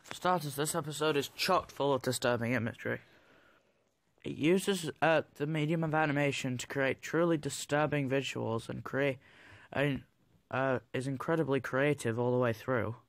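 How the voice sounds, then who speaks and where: A young adult man giving countdown review commentary, with hesitant, halting phrasing. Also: mid-range voice, dry recording. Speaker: video maker reviewing cartoons. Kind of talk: top ten countdown commentary.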